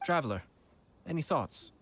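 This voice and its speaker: A man's voice: fairly high pitch, big pitch swings, moderate pace, a bit bright, slightly rough, heavily acted. A man playing a polite, curious and urbane nobleman in an anime video game. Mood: composed, content. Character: refined, curious, playful nobleman commissioner. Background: game music.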